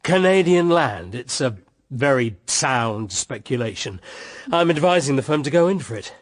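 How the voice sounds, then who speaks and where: A man's voice: mid-range voice, animated, moderate pace, lightly acted. An actor with a British accent playing a dutiful, morally rigid family man in a period radio drama, hearty and formal.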